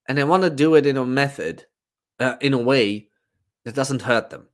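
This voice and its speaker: A man's voice: mid-range voice, normal speed. A man in his thirties giving a casual, informal livestream monologue as a fitness coach, rambling and emphatic. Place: small room at home, indoors.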